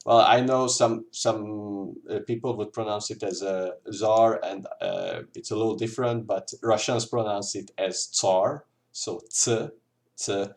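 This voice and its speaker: A man's voice: on the low side, measured pace. A man with a Hungarian accent, a native Hungarian speaker, teaching an informal language lesson in a hesitant, explanatory way with repeated examples. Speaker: language tutor.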